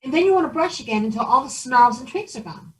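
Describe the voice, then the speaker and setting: A woman's voice: high-pitched, animated, fast talker, rough recording. A woman voicing a timid, polite boy who hesitates and stammers as he pleads. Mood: helpful.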